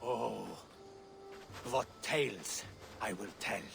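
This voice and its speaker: An actor playing a wounded, captured raider in a video game, weary, mocking and defiant, with sighing, halting delivery. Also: male voice, lowish voice, vocal fry, noisy background, full character voice. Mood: sardonic. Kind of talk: dramatic video game acting.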